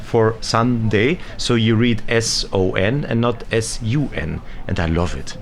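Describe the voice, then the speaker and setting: A man's voice: on the low side, creaky. Chatty Austrian man interviewing. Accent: Austrian German. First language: German. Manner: chatty, enthusiastic, quick to interject and laugh. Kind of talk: casual trade-fair interview. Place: busy exhibition hall.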